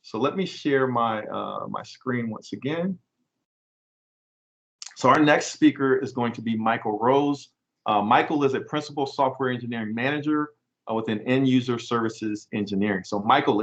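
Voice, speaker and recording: A man's voice: mid-range voice, moderate pace, a bit bright. A man hosting an online event, politely thanking a speaker and introducing the next one, with a few fillers. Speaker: event host. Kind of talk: introducing speakers on a video call. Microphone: video call mic. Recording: dull lo-fi video call audio.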